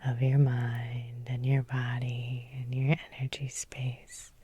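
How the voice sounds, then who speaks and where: A woman leading an ASMR guided hypnosis in a breathy whisper, soothing and repetitive, with lingering pauses. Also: very deep voice, monotone, dark tone, no room sound. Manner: slow, soothing, repeating phrases with lingering pauses. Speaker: hypnotherapist and Reiki healer leading a guided meditation.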